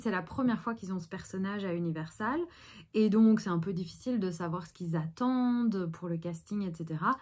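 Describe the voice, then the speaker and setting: A woman, chatting casually about her day in a rambling vlog with many fillers. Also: lowish voice. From Belgium. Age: 30s. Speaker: actress vlogger.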